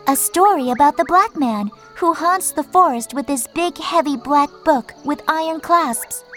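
A woman's voice: sits high, moderate pace, fairly bright tone, soft background music. A woman playing a curious, outspoken, insistent little girl in a dramatized storybook. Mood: eager, curious. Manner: insistent, inquisitive. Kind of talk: dramatized audiobook acting.

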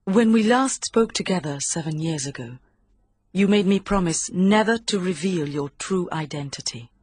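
Anguished, pleading woman, husky and dry. Female actor. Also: on the low side, animated, normal speed, a little mellow, no room sound. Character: anguished, defiant adult woman.

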